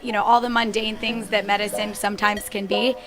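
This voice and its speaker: A woman's voice: medium pitch, level tone, fairly quick. A young woman, a surgical resident and guest, chatting enthusiastically with frequent restarts and fillers. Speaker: plastic surgery resident, guest. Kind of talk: casual chat. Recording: talk with music under it.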